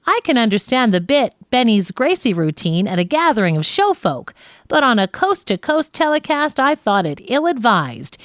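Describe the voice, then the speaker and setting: A woman's voice: mid-range voice, no echo, studio quality. A woman reading a nonfiction audiobook as scripted narration.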